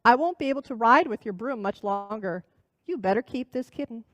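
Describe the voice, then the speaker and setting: A woman's voice: fairly high pitch, lively intonation, fairly quick, dry recording. A woman voicing a meek but stubborn aging mother cat in a children's story. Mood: quiet. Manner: meek, quiet.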